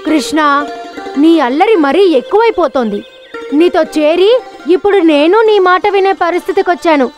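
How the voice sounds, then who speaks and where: A woman's voice: very high-pitched, expressive, normal speed, a little mellow, soft background music. A woman voicing a young elder brother in a children's cartoon, anxious, nagging and scolding. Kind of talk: animated children's cartoon acting.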